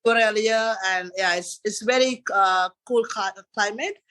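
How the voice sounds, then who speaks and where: Bright-voiced Sri Lankan man, rambling. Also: high voice, moderate pace. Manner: rambling, repeats "and after that" and "you know". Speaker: podcast guest. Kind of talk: casual interview chat.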